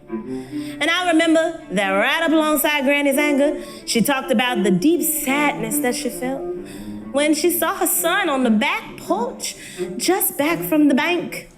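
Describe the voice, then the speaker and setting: Woman acting a high-voiced, slow college girl. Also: full character voice. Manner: folksy, earnest, pausing storyteller. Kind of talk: dramatic monologue on stage.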